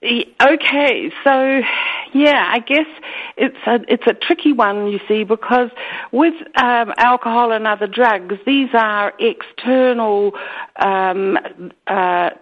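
A woman with a New Zealand accent speaks earnestly as a radio guest, often stopping to restart her sentences. Her voice comes through a narrow, tinny phone line. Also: fairly high pitch. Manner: earnest, halting with many restarts and fillers. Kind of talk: radio interview.

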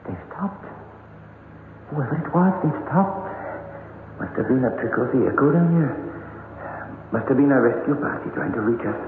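An actor playing a Welsh coal miner recounting a ghostly disaster in a 1960s radio horror drama. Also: male voice, mid-range voice, lively intonation, moderate pace, pauses often, reverberant, background noise, natural voice. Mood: frightened. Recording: lo-fi old radio, loud music behind.

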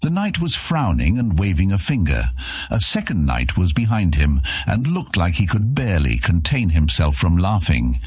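An extremely deep male narrator with a husky grain, reading scripted fantasy fiction. The recording is dry and close, like a studio audiobook. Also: wildly swooping pitch, normal speed, a little mellow, dry recording.

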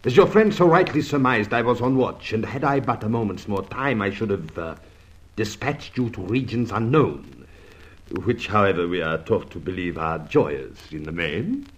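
Suave, deep-voiced foreign villain. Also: male voice, very animated, normal speed. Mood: suave menace. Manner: elaborately polite, formal, menacing, with "eh?" tags. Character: suave, mock-courteous foreign villain holding men at gunpoint. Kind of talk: old-time radio drama acting.

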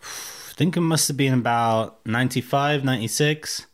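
A man's voice: mid-range voice, moderate pace. A man in his thirties from Russia with a mixed Russian, American and English accent, chatting candidly and profanely as an interview guest, full of fillers. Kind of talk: casual interview chat.

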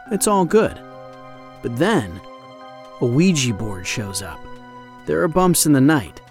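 Male podcast host, lively intonation, conversational scripted promo over faint music. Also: mid-range voice.

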